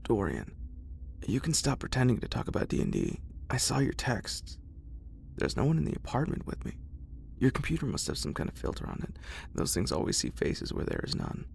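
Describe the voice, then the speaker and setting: A man voices a tipsy horror-story protagonist who is annoyed and skeptical. His speech is dismissive and raised in pitch over the low narration, with slight noise in the recording. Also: wildly swooping pitch, fairly quick, creaky, exaggerated voice. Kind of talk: acted dialogue in horror story reading.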